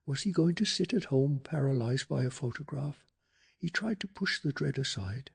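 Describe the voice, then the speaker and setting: A man narrating a story in a calm, measured, gentle soft-spoken ASMR style. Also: mid-range voice, vocal fry, breathy edge. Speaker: narrator of a bedtime story channel.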